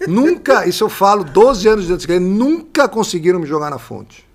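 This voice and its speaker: Husky Brazilian man in his sixties. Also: high-pitched, very animated, normal speed. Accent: Brazilian, São Paulo. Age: 60s. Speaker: podcast guest. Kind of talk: animated conversational storytelling.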